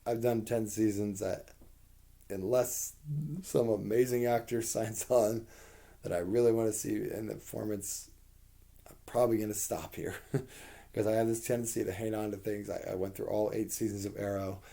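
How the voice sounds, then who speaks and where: A man with a hoarse voice giving a rambling, candid spoken TV review, with plenty of fillers and the odd curse. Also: fairly low pitch, steady tone, normal speed, dark tone.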